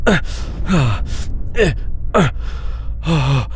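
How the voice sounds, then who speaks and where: A man's voice: a little high, big pitch swings, very slow, slight background noise, full character voice. A man playing an anxious, pleading insectoid alien bounty hunter in a sci-fi audio roleplay, flustered, hesitant and formally wordy. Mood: frantic, straining. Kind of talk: scripted audio roleplay addressed to listener.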